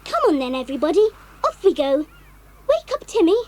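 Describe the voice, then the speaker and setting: An actress playing a forthright, expressive child adventurer in a children's audio drama. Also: female voice, sits high, a little fast, noisy background. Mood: brisk, teasing. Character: forthright child adventurer, eager and plain-speaking.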